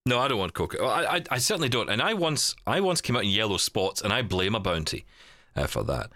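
A man hosting a podcast, talking in a casual, chatty and rambling way with animated storytelling. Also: fairly low pitch, quick pace, no echo, clean studio sound. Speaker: podcast host and producer.

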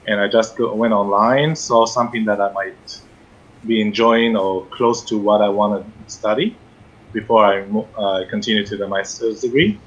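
Low husky man with an Israeli accent. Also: fairly bright tone, dull audio. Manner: careful, hesitant, explains with many fillers. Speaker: guest, fitness trainer and shiatsu practitioner. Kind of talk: casual interview.

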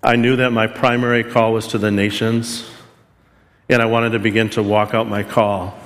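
A man's voice: on the low side, level tone, normal speed. A man giving a sermon, telling a personal story in an earnest, self-deprecating way. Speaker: preacher. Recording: long hall reverb.

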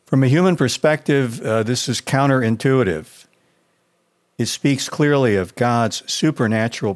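An American man, a pastor, reading a scripted devotional. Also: on the low side.

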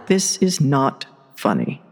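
A woman's voice: extremely low pitch, huge pitch swings, slow, a little mellow, breathy edge, heavily acted. A woman playing a vain, scornful, murderous evil queen in a comic radio play, with a theatrical, imperious delivery. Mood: indignant, irritated. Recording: long hall-like reverb.

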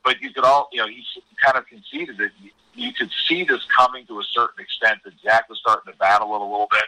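A man's voice: lowish voice, pushed and bright, no echo, low-quality recording. A man giving conversational hockey analysis as an interview guest, emphatic and repeating words as he explains.

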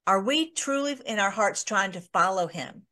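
A woman's voice: mid-range voice, lively intonation, moderate pace, bright, forceful tone. A woman hosting an informal online Bible study, talking in a rambling way with many restarts and fillers.

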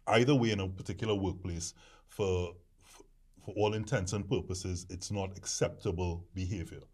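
Deep-voiced man, rambling talk. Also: steady tone, moderate pace, darkish tone, breathy edge. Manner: rambling, hesitant with many restarts. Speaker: discussion panelist. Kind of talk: casual discussion.

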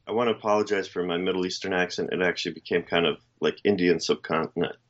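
Male co-host, American, lowish pitch, deliberate opinionated talk, slightly dull recording. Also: fairly even intonation, fairly bright tone. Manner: deliberate, opinionated, rhetorical questions. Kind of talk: casual political commentary.

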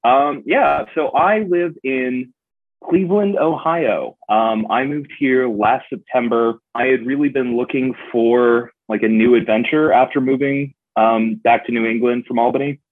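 A man's voice: mid-range voice, a little slow, no echo. Playful young man on a tinny line. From New Hampshire, USA. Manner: playful, mimics voices in stories. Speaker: podcast guest. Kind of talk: casual chat. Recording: dry tinny phone-like sound.

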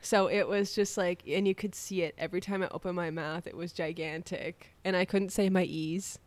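A woman in her twenties co-hosting a podcast, telling self-deprecating stories in a lively casual chat. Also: on the low side, moderate pace, dry recording. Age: 20s. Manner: animated, self-deprecating storyteller. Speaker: podcast co-host.

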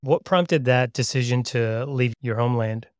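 Measured male podcast host. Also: mid-range voice, a little mellow, clear, resonant tone, no echo, studio quality. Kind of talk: scripted intro narration and interview questions.

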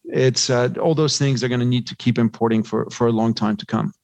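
A man's voice: medium pitch, fairly even intonation, a little fast, creaky, a little mellow, no echo. A man giving conversational financial commentary as an interview guest, talking discursively and posing rhetorical questions. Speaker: podcast guest, investment research analyst.

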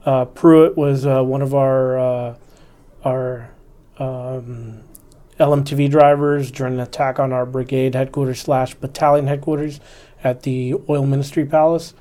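A man in his forties speaks with an even, level delivery that rarely climbs or dips. He is an army officer reflecting on his experience in an interview, earnest and instructive, and he keeps coming back to the fundamentals. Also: mid-range voice, measured pace.

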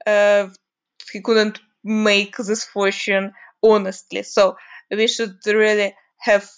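A young woman from Ukraine with a Ukrainian accent, a native Russian speaker, giving an unscripted monologue with frequent hesitant pauses while she searches for words. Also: medium pitch, little pitch movement, smooth. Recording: dull lo-fi recording.

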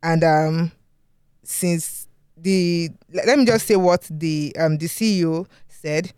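A podcast host with a Yoruba-accented Nigerian English accent, chatting casually in a studio and going over news with many ums and pauses. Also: medium pitch, a little mellow, smooth, no room sound. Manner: hesitant, many ums, laughs often.

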